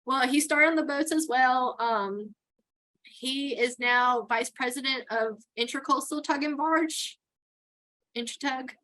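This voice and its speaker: A woman's voice: high-pitched, unhurried, sharp tone, low-quality recording. A middle-aged woman from New York with a Texan accent, chatting casually as an interview guest and laughing often. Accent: Texan with some New York. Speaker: guest, towboat port captain.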